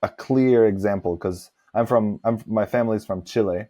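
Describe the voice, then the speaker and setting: Guy from norway hosting a chatty podcast, low soft-edged mellow voice, thinking out loud. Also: moderate pace. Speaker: podcast host interviewing. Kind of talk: casual conversational interview.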